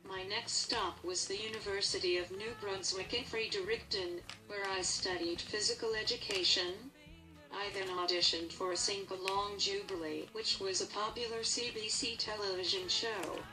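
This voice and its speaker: A woman's voice: medium pitch, steady tone, moderate pace, lightly acted. A woman playing an earnest Canadian singer, plainly reading a scripted first-person account of her career. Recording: distant mic under clear music.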